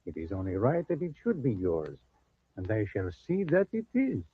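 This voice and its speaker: An actor playing a kindly, dignified mission steward, formal and courteous, in an old-time radio drama. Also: male voice, fairly low pitch, huge pitch swings, moderate pace, narrow, tinny audio, slight background noise. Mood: courteous, assuring. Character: kindly, dignified major-domo of a Spanish mission. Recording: phone-thin vintage radio recording.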